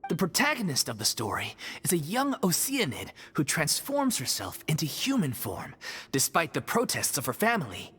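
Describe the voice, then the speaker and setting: Storytelling troupe member, mid-pitched and animated, scored game scene. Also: normal speed. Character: theater troupe member explaining a play. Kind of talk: game cutscene voice acting. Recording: game soundtrack music behind.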